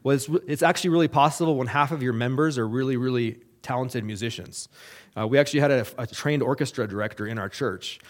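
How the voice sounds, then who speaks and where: Earnest, halting missionary man. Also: mid-range voice. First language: English. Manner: earnest, halting, with many fillers and restarts. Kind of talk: missionary report to a church.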